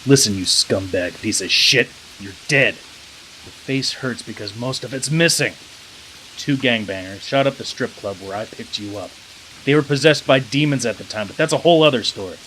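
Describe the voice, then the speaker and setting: A driver who ferries the dead speaks in a blunt, contemptuous way, voiced by an actor. The voice sits at an ordinary male pitch. A faint hiss lies under the recording. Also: moderate pace, bright, forceful tone, close to an everyday voice, poor audio.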